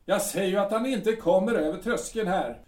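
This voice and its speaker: An actor playing a bitter, ailing middle-aged artillery captain who stammers, repeats himself and scoffs in a radio drama. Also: male voice, high voice, darkish tone, natural voice. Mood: scornful. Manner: halting, scornful, stammers and repeats words. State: ill, short of strength. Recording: echoey room.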